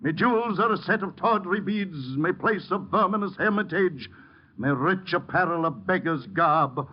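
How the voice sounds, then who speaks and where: Broken, grandiloquent old-radio thespian. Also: male voice, high voice, lively intonation, normal speed. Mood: anguished, declaiming. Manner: florid, declamatory, mournful. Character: broken, bitter, grandiloquent theatrical man fallen on hard times. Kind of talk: 1940s-50s radio drama acting. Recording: dull, faintly noisy old radio recording.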